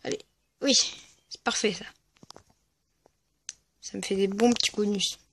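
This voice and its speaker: A gaming video creator giving casual, hesitant and rambling commentary over gameplay, speaking in a medium-high voice. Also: fairly even intonation, unhurried, vocal fry, fairly bright tone, a little hiss or hum. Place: at home, voice-over on mobile gameplay recording.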